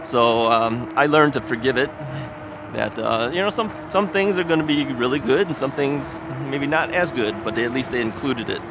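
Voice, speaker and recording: A man's voice: medium pitch, normal speed. A man hosting a casual vlog while out riding on a city street. He rambles in a folksy way, with lots of filler words and frequent chuckles. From United States. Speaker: ebike video host. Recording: outdoor street recording with traffic noise. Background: traffic and street noise.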